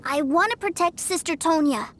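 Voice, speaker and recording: A woman's voice: extremely high pitch, normal speed, a bit bright. A woman voicing an eager, stubborn, adoring little boy in a video game, with a childlike, earnest delivery.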